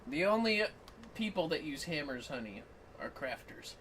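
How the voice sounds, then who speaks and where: Man, casual game streamer, lively swooping pitch, playful read-alouds, distant mic, music in background. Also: normal speed, slight background noise. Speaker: livestream co-host. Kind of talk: casual livestream chat with dramatic read-aloud of game text. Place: home office.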